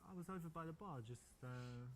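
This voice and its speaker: A man's voice: fairly high pitch, brisk, lightly acted. Man acting as casual pick-up artist, faint background noise. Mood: casual, flirty. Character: smooth male pick-up artist flirting, showing off. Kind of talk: naturalistic live-action game dialogue. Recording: faint venue ambience noise.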